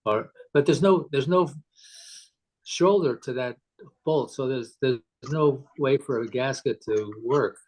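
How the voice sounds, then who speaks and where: A man's voice: medium pitch, a little slow, a little mellow, rough recording. A man talking casually on a technical group call as a club member asking repair questions, thinking aloud in a hesitant way.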